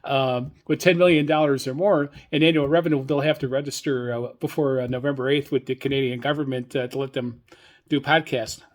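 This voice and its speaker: An older man hosting a hobbyist podcast, giving informal solo news commentary in a hesitant, halting, rambling way. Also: medium pitch, steady tone. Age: older, 60s or above. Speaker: radio hobbyist podcast host.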